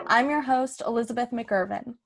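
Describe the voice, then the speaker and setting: A woman introduces a podcast casually. Her voice is fairly high, and her manner is informal, chatty and a bit giggly. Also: moderate pace, slight background noise. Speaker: podcast host.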